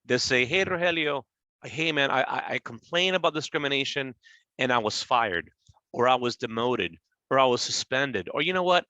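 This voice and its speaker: A man's voice: medium pitch, lively intonation, normal speed, sharp tone, dry recording, muffled recording. A man in his forties giving an informal, conversational webinar presentation on workplace law, explaining and rephrasing points as he goes. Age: 40s. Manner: conversational, checks in with "right?". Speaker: government agency presenter.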